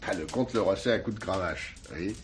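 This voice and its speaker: An actor playing a jovial, folksy village innkeeper who gossips freely in an old television play. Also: male voice, sits low, fairly quick, close to an everyday voice. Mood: jovial, gossipy. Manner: garrulous, chuckling, folksy with exclamations. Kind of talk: 1970s French television play dialogue. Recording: noisy old TV soundtrack with clicks.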